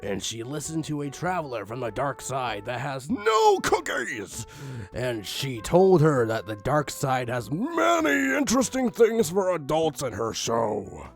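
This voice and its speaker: A man's voice: on the high side, huge pitch swings, a little slow, gravelly, faint music behind. A man giving a comedic dramatic reading of fan fiction, theatrical, with exasperated asides. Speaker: fan fiction reader, channel host.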